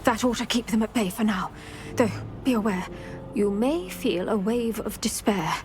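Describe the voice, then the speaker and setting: An actress playing an older retired Auror, formal and proper in manner. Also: female voice, a little high, lively intonation, moderate pace, lightly acted. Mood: brisk. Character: retired older woman Auror, stern but kindly. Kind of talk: video game voice acting. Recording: game audio with music behind.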